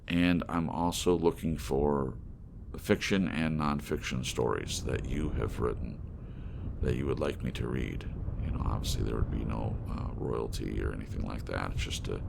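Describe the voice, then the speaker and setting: A man speaking as a reader and host of his own audiobook channel, with rain and thunder behind him. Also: creaky. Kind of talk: gothic poem narration and informal outro. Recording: faint rumbling rain and thunder noise. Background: rain and thunder sounds.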